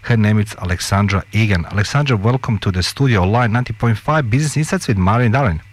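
A man's voice: fairly low pitch, fast. A man hosting a business radio talk show from the studio, eager and rambling, with frequent restarts. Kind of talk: enthusiastic radio interviewing.

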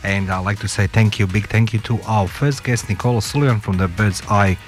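A man's voice: on the low side, a little fast, faint music behind. Eager rambling male radio host. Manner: eager, rambling, frequent restarts and laughs. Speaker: radio talk show host. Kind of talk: enthusiastic radio interviewing. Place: radio studio.

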